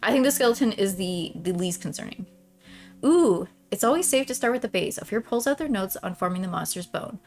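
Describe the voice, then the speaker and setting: A woman reads a visual novel aloud and chats casually as she plays it. Her pitch is middling, and she often trails off mid-thought in an informal way. Music plays behind her voice. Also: animated, brisk. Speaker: streamer playing and reading a visual novel. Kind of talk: casual let's-play commentary mixed with read-aloud narration.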